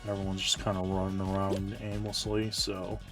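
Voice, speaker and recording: Tired, low-voiced male streamer. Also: monotone, normal speed, far from the mic, music in the background. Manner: rambling, self-correcting, low-key. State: tired, slightly hoarse. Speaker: Twitch streamer. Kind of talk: casual live-stream gaming chat.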